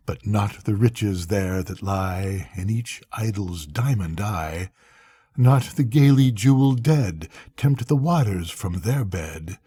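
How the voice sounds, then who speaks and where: An older man reading poetry aloud as a bedtime story, in a solemn, measured, dramatic manner with pauses between phrases. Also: on the low side, dark tone, breathy edge, no echo. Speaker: grandfather reading bedtime poems to grandchildren.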